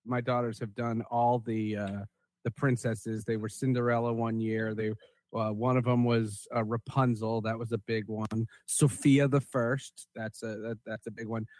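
A man's voice: medium pitch, little pitch movement, moderate pace, darkish tone, no echo. A middle-aged man hosting a podcast in casual conversation. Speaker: podcast host. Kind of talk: casual hosted podcast talk.

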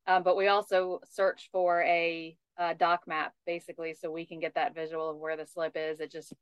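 A woman's voice: on the low side, little pitch movement, normal speed, clean tone, no room sound. A woman hosting in a conversational style, heard on dull, lo-fi audio. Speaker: host.

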